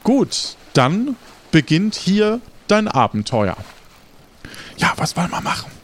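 A man's voice: sits high, very animated, slow pace. A man hosting an audio role-play game as its game master and narrator. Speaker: game master, podcast host.

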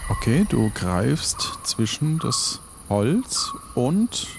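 A man narrating an improvised game as game master in a hesitant, halting way. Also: mid-range voice, big pitch swings, gravelly. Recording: noticeable background noise.

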